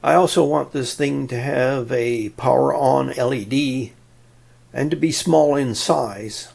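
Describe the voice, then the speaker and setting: A man narrates a technical tutorial at a very unhurried pace. His voice has a noticeable gravelly fry, and he explains methodically in plain words, with a touch of dry humour. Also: mid-range voice, darkish tone. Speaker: presenter of an electronics tutorial.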